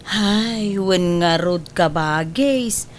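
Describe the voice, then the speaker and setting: Actress doing a coy older lady in love, comedy drama, low-ish voice, takes her time. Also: drawn-out words. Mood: coy, sheepish. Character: coy, lovestruck older woman with an aged sweetheart. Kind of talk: Ilocano comedy drama acting.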